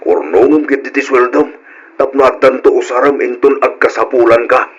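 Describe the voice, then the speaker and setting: A man's voice: medium pitch, steady tone, moderate pace, husky, muffled recording, natural voice. An actor playing a weary, protective older father from a poor village, earnest and plain, with an Ilocano accent, in an old radio drama. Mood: gentle.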